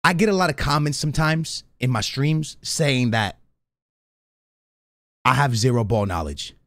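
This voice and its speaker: A man's voice: medium pitch, a little slow, a bit bright, no echo. A man in his thirties doing slangy, casual reaction commentary as a streamer, given to banter and emphatic repetition. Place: small indoor streaming room. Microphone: close studio microphone on a boom arm.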